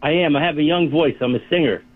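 Chatty 80s guy calling in, tinny phone line, fairly high voice. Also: quick pace. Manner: chatty storyteller, laughs at his own tales. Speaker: caller.

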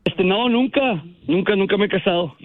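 A man's voice: sits high, normal speed, no echo. A man in his twenties calling in to a radio show, polite and hesitant, with lots of fillers. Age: 20s. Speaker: caller. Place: on the phone from Texas. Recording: tinny dry phone line.

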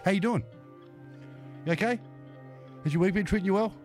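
A man hosting a community radio show, upbeat and chatty, his voice croaky from a cold. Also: high-pitched, a little fast. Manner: upbeat, chatty, repeats phrases. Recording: music bed behind the voice.

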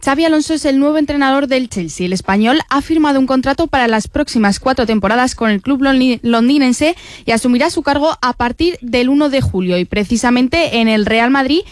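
Woman reading sports news, high voice. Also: brisk, no room sound, studio quality. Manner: crisp, even news delivery. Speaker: sports reporter. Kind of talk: news report.

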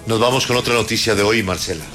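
A male news anchor with a very low voice. He hosts and questions reporters, with quiet music in the background. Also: quick pace.

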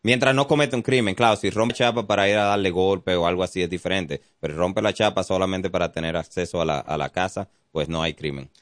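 Brief: Dominican police officer on radio, very low voice, calm explainer, dry studio. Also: male voice, brisk, hardly any pauses, no echo. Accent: Dominican Spanish. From Dominican Republic. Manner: explanatory, calm, many fillers. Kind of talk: informative radio interview. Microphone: studio mic.

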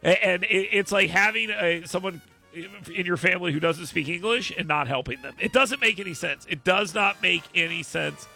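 A man's voice: high voice, moderate pace, vocal fry, sharp tone, soft background music. A man hosting a podcast, joking bluntly in casual chat. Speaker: podcast host.

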